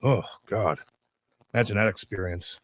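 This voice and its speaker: A man co-hosting a sports talk radio show, casual banter, loud and emphatic, repeating his points as he argues. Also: fairly low pitch, normal speed, no room sound.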